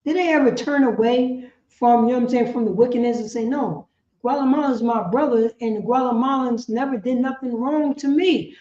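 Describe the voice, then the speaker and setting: A woman's voice: fairly high pitch, a little fast, mellow. A woman teaching a religious class in an impassioned, emphatic way, repeating phrases and asking rhetorical questions. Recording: echoey room, dull lo-fi sound.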